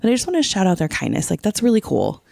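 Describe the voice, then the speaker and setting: A woman's voice: mid-range voice, huge pitch swings, fast, vocal fry, warm tone, dry recording, clean studio sound. A middle-aged woman co-hosting a podcast in an effusive, informal way, often saying "like" as she chats. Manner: effusive, informal, peppers talk with "like". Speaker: podcast co-host. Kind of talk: casual podcast chat.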